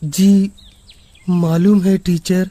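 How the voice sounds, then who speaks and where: A man's voice: sits high, level tone, drawn-out words, warm tone. A man voicing an anxious, pleading young schoolboy in a storybook horror tale, with an earnest delivery. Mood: apologetic. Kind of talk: animated story dubbing in Hindi.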